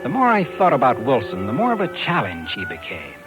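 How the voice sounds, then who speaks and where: A pushy talent agent telling his story in an old radio thriller. His mid-pitched voice swings widely as he presses for answers, with music clearly behind him. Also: wildly swooping pitch, a little fast, dull audio. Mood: wry, brooding. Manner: hardboiled, insistent. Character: brash, persistent, inquisitive male talent agent. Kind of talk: 1950s radio acting with first-person narration.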